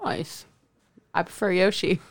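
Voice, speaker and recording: A woman's voice: lowish voice, fairly even intonation, unhurried, creaky. Chatty young woman asking questions. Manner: chatty, asks questions, laughs easily. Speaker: podcast co-host. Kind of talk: casual chat.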